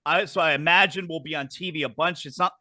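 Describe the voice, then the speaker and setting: A man's voice: fairly high pitch, quick pace, sharp tone, no room sound, studio quality. A middle-aged man hosting a casual wrestling talk show, chatty and prone to repeating words as he restarts sentences. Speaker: podcast host.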